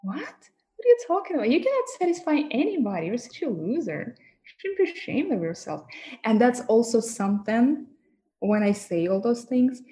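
A woman's voice: fairly high pitch, sing-song, normal speed, dark tone, slight background noise. A woman with a Russian accent, a guest talking frankly and with lots of laughter about her work, her first language Russian. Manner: frank, laughs often, mock-degrading asides. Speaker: guest, professional dominatrix. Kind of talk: candid interview chat.

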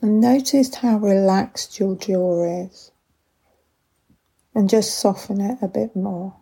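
A woman leading a guided body scan meditation with gentle, unhurried instructions and long pauses, in a soft, breathy voice. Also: medium pitch, fairly even intonation.

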